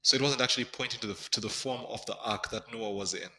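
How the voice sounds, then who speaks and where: A man's voice: lowish voice, fast, bright, forceful tone. A man hosting an interview, putting short, interested follow-up questions to his guest. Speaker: interview host. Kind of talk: conversational interview. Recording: long reverb.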